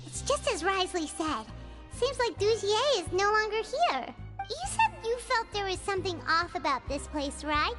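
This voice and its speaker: Super high chirpy little fairy girl, bouncy swoopy voice, game music loud behind her. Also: very animated, moderate pace. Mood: coaxing. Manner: talks of herself in third person. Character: tiny chirpy female fairy companion, excitable. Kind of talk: video game voice acting.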